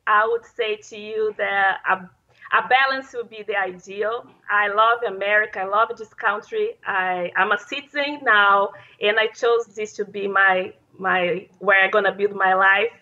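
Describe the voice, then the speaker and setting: A woman's voice: mid-range voice, fairly even intonation, measured pace, sharp tone, muffled recording. A woman in her thirties from Brazil with a Brazilian Portuguese accent, a Portuguese native speaker, earnestly answering interview questions as a dental consultant. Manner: earnest, slightly non-native phrasing, laughs easily. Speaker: guest, dental hygienist and consultant. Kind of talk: interview conversation.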